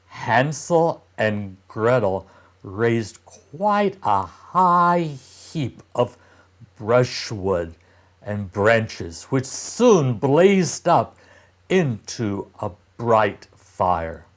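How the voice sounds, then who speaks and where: A man reading a children's story aloud as a teacher, with deliberate, expressive phrasing. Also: mid-range voice. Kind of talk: storybook narration.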